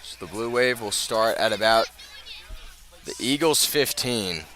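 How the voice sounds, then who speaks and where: Male American sports announcer, lower-pitched but very bright and forceful, live radio football play-by-play, outdoors at the stadium. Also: expressive. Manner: measured scene-setting, rising to urgent calls.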